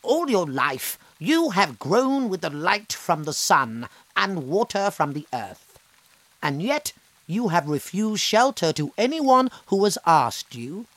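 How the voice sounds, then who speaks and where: In a storybook reading, a woman plays a woodland fairy disguised as an old woman. She speaks in a calm, reproachful way, slowly and with a lot of rise and fall. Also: on the low side, no room sound, voice pushed up, full character voice.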